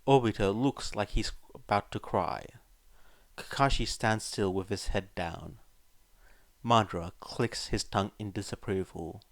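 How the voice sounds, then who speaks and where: A male narrator reads a scripted story. His voice is on the low side and his pace is slow. The sound is dry and close, without room echo. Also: vocal fry. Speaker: narrator, fan fiction reader.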